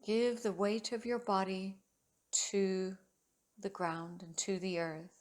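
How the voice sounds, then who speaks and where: A woman leading a guided relaxation meditation as a yoga teacher, speaking in a soothing, measured way with long pauses between phrases. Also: mid-range voice, flat delivery, darkish tone.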